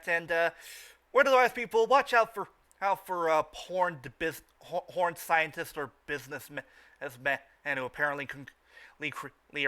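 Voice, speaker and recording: A man hosting a casual podcast, talking off the cuff in a halting way with stammered, repeated words. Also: fairly high pitch, sharp tone. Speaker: podcast host. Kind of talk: casual unscripted podcast chat.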